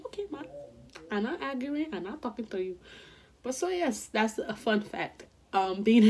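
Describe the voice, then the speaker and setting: A young woman from Nigeria chatting casually to her camera in a small bedroom. She is playful, tells stories with sudden jumps in pitch, and drops into whispers for her asides. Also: husky. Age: young adult. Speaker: vlogger.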